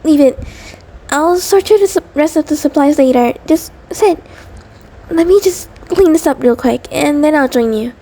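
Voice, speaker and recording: A woman's voice: high voice, normal speed, dark tone, a little hiss or hum. A woman voicing an anxious, devoted centipede creature partner in an intimate audio roleplay, nervous and hesitant, stammering and rambling. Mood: flustered, fretful. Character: anxious, devoted female mutant centipede partner, sweet and neurotic.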